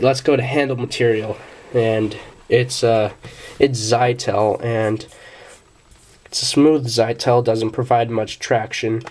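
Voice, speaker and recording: A young man, a bit sick, casually reviewing a pocket knife, with many fillers and restarts. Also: on the low side.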